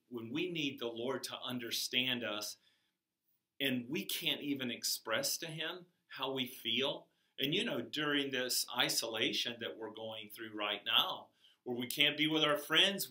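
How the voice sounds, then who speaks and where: An older man giving a chapel sermon to children in a classroom, speaking slowly and emphatically, his voice rising on key words. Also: medium pitch, bright, forceful tone. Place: classroom with a whiteboard, indoors. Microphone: camera across the room. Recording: echoey classroom from far off.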